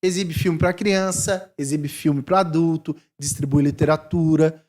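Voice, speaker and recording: A man from Brazil in his forties, a missionary talking casually in an interview, animated and thinking aloud with restarts. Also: fairly high pitch, unhurried. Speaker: missionary guest.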